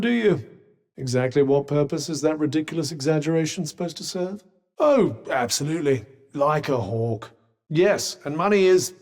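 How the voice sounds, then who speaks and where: A haughty, sardonic magical companion from a video game, speaking in posh British tones. The voice sits a little high and swings expressively from line to line. It is droll, understated and faintly condescending, and rings with a long reverb. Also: male voice.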